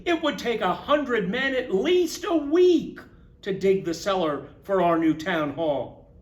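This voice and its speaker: A man reading a storybook, voicing an incredulous small-town official with loud, emphatic disbelief. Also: high-pitched, expressive, slow pace, echoey room.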